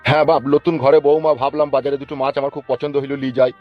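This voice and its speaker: Man doing a chatty, quick-talking older village uncle. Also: on the high side, little pitch movement, faint music behind, lightly acted. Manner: familiar, inquisitive. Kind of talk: cartoon comedy acting. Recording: dull lo-fi cartoon audio.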